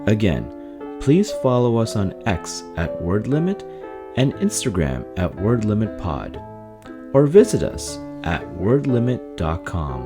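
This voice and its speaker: A man's voice: fairly low pitch, huge pitch swings, takes it slowly. A man reading a scripted sign-off as a podcast host. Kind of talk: scripted outro announcement. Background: plucked guitar music.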